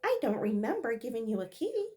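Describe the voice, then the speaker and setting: A woman voicing a haughty young wife who gives sharp, commanding orders to her maid. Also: fairly high pitch, very animated, fairly quick. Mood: cold.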